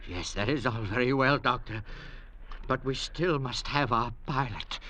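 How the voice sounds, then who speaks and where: A slow, uneasy castaway. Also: male voice, medium pitch, sharp tone, highs cut off, a little hiss or hum. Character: frightened, suspicious man adrift with others. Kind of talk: 1940s radio acting.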